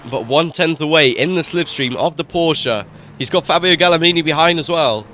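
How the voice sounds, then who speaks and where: A man with a cold calls a sim race live, his voice nasal and bright with a forceful edge. His calls build quickly whenever an overtake is on. A faint noise sits under the recording. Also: a little high. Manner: excited rises at overtakes, quick asides. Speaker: race commentator. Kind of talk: live sim racing commentary.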